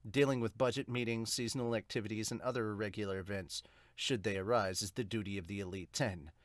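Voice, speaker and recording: A man's voice: on the low side, no room sound, studio quality, voice pushed down, natural voice. A man voicing a stern, composed young academy headmaster, a woman, in a formal and commanding manner for a manga dub.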